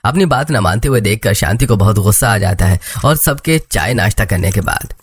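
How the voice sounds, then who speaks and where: A man's voice: on the low side, animated, brisk, breathy edge. A man narrating a scripted cartoon story as its storyteller.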